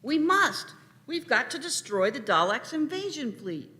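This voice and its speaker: A woman's voice: high-pitched, big pitch swings, unhurried, bright, forceful tone, full character voice. A woman playing an impatient, headstrong young companion in a sci-fi radio play, speaking urgently and insistently.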